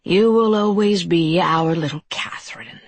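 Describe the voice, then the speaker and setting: A woman voicing a menacing male monster, coldly amiable and mocking, in a slow breathy hissing whisper. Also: on the low side, no echo. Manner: slow, drawn-out, taunting.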